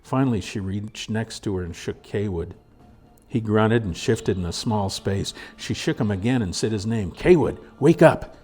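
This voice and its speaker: A man reading a story aloud as narrator in a measured, dramatic storytelling manner. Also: on the low side, darkish tone, breathy edge, faint music behind. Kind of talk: scripted audiobook narration.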